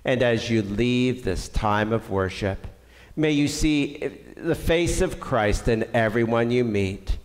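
A middle-aged Canadian man speaks as a pastor, slow and deliberate. His earnest pastoral voice rings with the long reverb of a small church sanctuary, picked up from across the room. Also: mid-range voice. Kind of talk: church service closing and benediction. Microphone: far camera.

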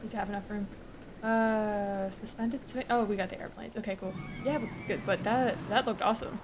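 A woman livestreaming a game, chatting casually, laughing often and swearing freely, her voice a little hoarse. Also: mid-range voice, fairly even intonation, normal speed. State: slightly hoarse. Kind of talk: casual gameplay chat. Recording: noisy home stream recording.

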